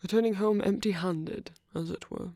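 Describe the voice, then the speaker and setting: Guy acting as a gentle nervous king, asmr roleplay, creaky voice, real slow n hesitant, super close dry sound. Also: sits high, dry recording. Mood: candid, hesitant. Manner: soft, hesitant, formal and earnest, lapsing into whispers. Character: gentle, nervous king confessing love to a prince. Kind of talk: intimate ASMR audio roleplay.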